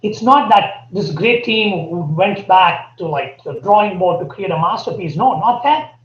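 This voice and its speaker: High-voiced Indian man, echoey room. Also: animated. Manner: earnest, emphatic, lists points deliberately. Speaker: guest. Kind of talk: podcast interview. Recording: echoey, dull remote audio.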